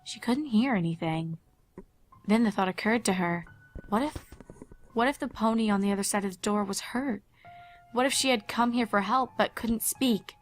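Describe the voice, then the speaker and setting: A woman reading scripted dramatic story narration in a measured, expressive way. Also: medium pitch, soft background music.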